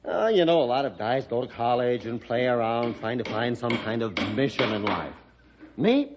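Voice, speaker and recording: Man as lively, genial young American businessman. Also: mid-range voice, normal speed, close to an everyday voice. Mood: genial, confident. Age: young adult. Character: genial, confident young male small-town businessman. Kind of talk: 1960s radio drama acting. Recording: muffled, faintly noisy old radio recording.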